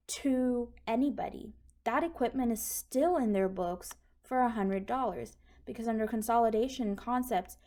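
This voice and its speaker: Mellow-voiced woman teaching step by step. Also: fairly high pitch. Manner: step-by-step, informal explaining. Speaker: accounting instructor. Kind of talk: explanatory tutorial over handwritten notes. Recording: clearly noisy recording with clicks.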